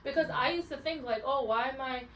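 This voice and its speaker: A woman's voice: sits high, fairly quick, fairly bright tone. A woman in her twenties co-hosting a podcast, chatting frankly with lots of "like" and some swearing. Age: 20s. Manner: frank, swears freely, heavy use of "like". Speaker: podcast co-host. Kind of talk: casual chat. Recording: roomy echo, mic at a distance, faint hiss.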